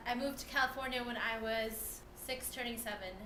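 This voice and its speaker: A chatty nineteen-year-old student from the Philippines with a fairly high voice. She gives interview answers in an echoey room, and steady background noise is clearly heard. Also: moderate pace. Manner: chatty, laughs easily.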